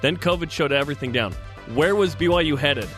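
A man hosting a sports talk radio show, recapping results and reading trivia in a steady, informative way. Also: on the high side, a little fast, faint music behind.

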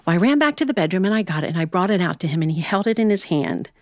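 An American woman storyteller from Ohio telling a tale live to listeners, in an animated, folksy way with dramatic pauses and asides. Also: on the low side, dry recording, clean studio sound. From Ohio, United States.

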